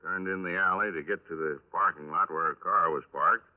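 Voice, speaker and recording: A man acting a folksy, unhurried police detective in a 1950s radio drama, speaking in a hesitant, rambling, casual way. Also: sits low, fairly even intonation, lightly acted. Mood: matter-of-fact. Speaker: actor in a radio crime drama. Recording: narrow-band vintage 1950s radio recording.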